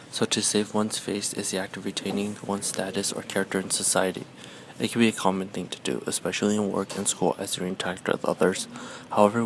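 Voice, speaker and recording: A man reading a scripted documentary narration in a measured, explanatory way. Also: fairly low pitch, vocal fry, a little hiss or hum, low-quality recording.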